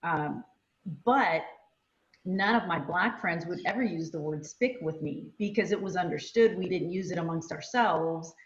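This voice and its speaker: A woman's voice: fairly low pitch, normal speed. A woman whose first language is Spanish, sharing memories reflectively in a casual panel discussion, with many ums and you-knows. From United States. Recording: echoey, dull lo-fi sound.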